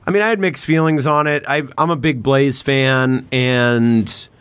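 A man with a mid-pitched voice hosts a relaxed, casual conversation. He feels his way through sentences, hesitating and rewording as he rambles. The sound is very dry, with hardly any room in it. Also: measured pace, clear, resonant tone, no echo. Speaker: podcast host. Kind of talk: casual chat.

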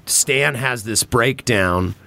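A man's voice: medium pitch, slow, gravelly, bright, forceful tone, no room sound. A man hosting a casual podcast, chatting informally with swearing and banter. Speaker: podcast host.

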